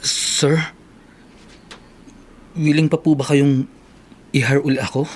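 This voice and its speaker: A man's voice: on the high side, level tone, slow pace, slight background noise. An actor playing a hopeful, grateful young man in a Filipino radio drama. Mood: humble, grateful.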